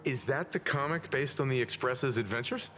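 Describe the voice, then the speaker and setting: A man playing a composed, genial travel companion in an anime-style video game, speaking in a measured, informative, polite way. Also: medium pitch, lightly acted. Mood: calm, genial. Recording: music behind the voice.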